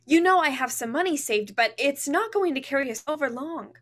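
A woman's voice: high-pitched, lively intonation, a little fast, fairly bright tone. A young actress playing a controlling, bitter mother, insistent and commanding, in a staged reading over video call. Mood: forceful, indignant. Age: young adult. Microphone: video call at desk distance.

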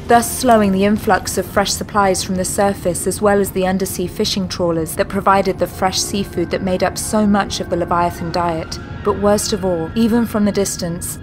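A woman delivers dramatic, scripted narration for a science fiction audio drama. Music plays clearly behind her storytelling. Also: medium pitch.

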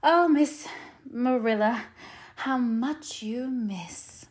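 A woman's voice: on the high side, expressive, a little fast, lightly acted. A woman voicing an eager, dreamy orphan girl who talks earnestly and effusively, as in an audiobook. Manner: effusive, earnest, dramatic phrasing. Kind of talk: audiobook character voice.

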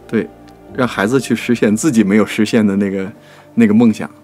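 A man's voice: fairly low pitch, steady tone, fairly quick, darkish tone, faint music behind. A man co-hosting a literary talk, chatting casually, agreeing and prompting his partner. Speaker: podcast producer and co-host.

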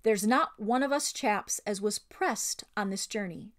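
An earnest storybook sailor, read by a woman, appealing to his shipmates. He is plain-spoken and colloquial, pressing his case at a medium, slightly lifted pitch. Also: measured pace, natural voice. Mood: earnest, challenging.

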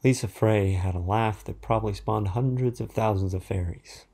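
A man performing a dramatic monologue as a grieving, tender, subdued mourner with a soft, gravelly voice. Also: fairly low pitch, moderate pace. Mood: fond eulogy. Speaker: actor in a staged reading. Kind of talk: dramatic third-person monologue.

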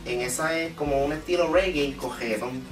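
A man's voice: on the high side, a little fast, over music. A Puerto Rican man, a guest musician, giving plain, brief answers about his songs. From Puerto Rico. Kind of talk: casual interview answers. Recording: echoey room, mic far off.

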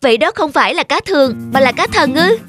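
A man's voice: very high-pitched, very animated, fairly quick. A man playing a meek, kind young farm servant in a cartoon folk tale, humble and hesitant. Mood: surprised, polite. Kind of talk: animated folk tale acting. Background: music.

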